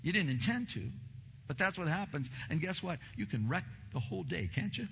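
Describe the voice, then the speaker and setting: A pastor preaching a sermon in a church, a man with a big voice who swings from low, slow stresses to loud, emphatic bursts. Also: animated, husky, long reverb tail, muffled recording.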